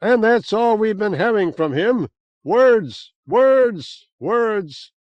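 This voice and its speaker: A man voicing an excitable, eccentric male monk in an audiobook, yelling frantically. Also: very high-pitched, drawn-out words, no echo, lo-fi sound, pitched above the performer's own voice, full character voice. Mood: mocking.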